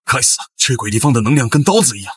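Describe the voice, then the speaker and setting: Casting: cocky warrior god for animated drama, rough husky tone, swinging pitch, quick blunt delivery. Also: male voice, no echo, clean studio sound, soft background music. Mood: aggressive. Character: brash, proud, hot-headed male war god.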